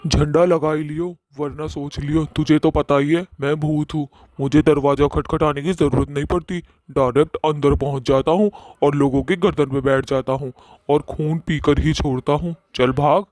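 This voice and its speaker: A man's voice: on the high side, steady tone, normal speed, a little mellow, no room sound, lightly acted. A man voicing a crude, swaggering ghost in a comic horror cartoon, blustering and threatening. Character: swaggering male ghost, crude, bullying, menacing. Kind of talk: Hindi cartoon horror-comedy acting.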